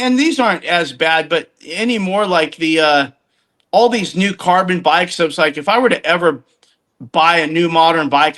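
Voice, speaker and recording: A man's voice: on the high side, fairly bright tone. A man in his fifties chatting casually, rambling and often restarting his sentences as he tells stories. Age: 50s. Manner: rambling, self-interrupting storyteller. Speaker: podcast guest. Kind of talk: casual chat.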